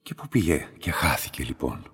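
An enigmatic middle-aged man speaks in a very deep, husky voice. He is calm, measured and softly insistent, as in a radio play, and his words hang in a long reverberant space. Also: heavily acted. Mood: probing, insistent. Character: enigmatic, calm, quietly insistent man who runs a specimen laboratory. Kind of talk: radio drama dialogue.

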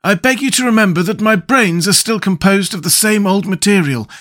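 A man's voice: high voice, brisk, a bit bright, voice pushed up. Man doing a hollow, noble scarecrow. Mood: grateful. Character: stuffed male scarecrow, noble, gently dignified.

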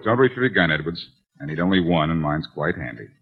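An actor playing a smooth, cocky detective in 1940s radio style, with wry, taunting, self-assured delivery. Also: male voice, very deep voice, lively intonation, fast, background noise. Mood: cool, accusing. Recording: dull, lo-fi 1940s radio recording.

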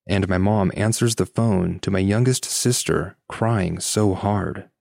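A man's voice: deep voice, gravelly, darkish tone, dry recording, studio quality. A man co-hosting a podcast, reading listener stories and chatting casually. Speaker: podcast co-host.

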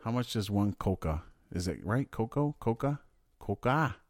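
A man co-hosting a podcast in casual chat, excitable and swearing as he riffs on questions. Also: on the low side, moderate pace, no room sound, studio quality. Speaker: podcast co-host.

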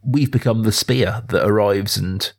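A man hosting a casual film discussion, reflective and halting as he thinks through his opinions. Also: lowish voice. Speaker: podcast host.

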